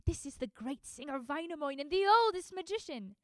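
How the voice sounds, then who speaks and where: A woman voicing an excitable mother who exclaims in a high, theatrical voice. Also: brisk, no room sound, studio quality. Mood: coaxing, scolding. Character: excitable mother, overjoyed then scolding.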